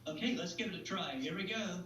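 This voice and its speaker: A man with a high, bright voice performs as a magician on an interview show. His patter is playful and folksy. He sounds roomy and set back from the microphone. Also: fast. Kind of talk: chatty magic demonstration and interview. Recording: roomy, distant mic, faint noise and hum.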